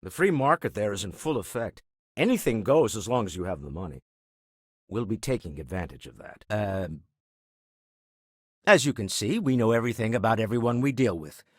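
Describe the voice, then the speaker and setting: An older businessman and explorer from a video game, shrewd, principled and wry. His voice is husky, with lively, swooping intonation. He speaks in articulate, formal phrases, recorded dry with no room sound. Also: on the low side, no room sound. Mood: wry, assured. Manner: articulate, measured, dryly formal. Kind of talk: video game character dialogue.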